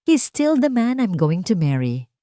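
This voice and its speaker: A woman narrator plays a wealthy, scheming fiancée in an audio story. She speaks with false sweetness and elegance, her pitch swinging widely in a dry, close recording. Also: big pitch swings, unhurried, dark tone, no room sound. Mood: sweetly mocking.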